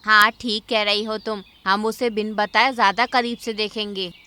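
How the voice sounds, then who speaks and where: A woman's voice: medium pitch, level tone, normal speed, sharp tone, clean tone, lightly acted. A woman voicing a cautious, determined female bird in a cartoon, with an earnest, urgent way of talking. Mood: wary. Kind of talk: cartoon character acting.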